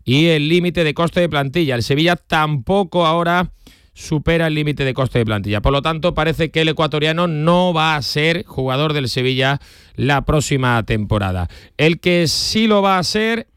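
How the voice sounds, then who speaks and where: A man presents the sports news on regional radio with brisk, practiced delivery. His voice sits fairly high and comes across smooth and crisp, recorded close and dry in a studio. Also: lively intonation, fairly bright tone, no room sound. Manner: brisk newsreading with light banter.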